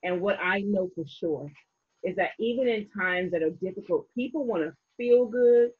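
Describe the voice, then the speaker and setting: Woman host, husky voice, encouraging and motivational, muffled lo-fi sound. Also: mid-range voice, moderate pace. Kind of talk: casual interview chat.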